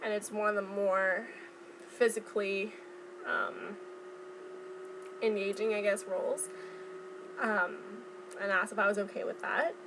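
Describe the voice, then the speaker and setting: A young woman chatting casually to viewers in a vlog, speaking informally and haltingly as she recalls things. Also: mid-range voice, steady tone, noisy background. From Southern California. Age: young adult. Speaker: vlogger. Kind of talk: casual chat vlog, recounting from memory.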